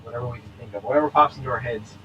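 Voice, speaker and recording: An easygoing young man in his twenties with a mid-pitched voice, tossing in dry jokes between plain chat. Faint noise hums in the background. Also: very fast, dull audio. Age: 20s. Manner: easygoing, joking asides. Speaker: podcast co-host. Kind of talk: casual chat.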